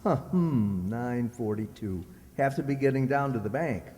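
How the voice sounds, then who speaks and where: A man's voice: mid-range voice, lively intonation, unhurried, warm tone, lightly acted. Man playing a skeptical radio-comedy husband in an echoey hall. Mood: feigned nonchalance. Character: skeptical, jealous husband, a banker, dismissive and wry. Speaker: amateur radio player. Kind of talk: old-time radio comedy acting. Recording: echoey hall with long reverb.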